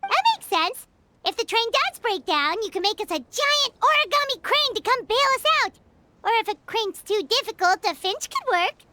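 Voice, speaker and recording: A woman voicing a tiny, excitable, worrying fairy companion in a video game, with a squeaky childlike voice and expressive delivery. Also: normal speed, slight background noise, full character voice. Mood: resigned, chatty. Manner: expressive, speaks of herself in third person. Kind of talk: video game character acting.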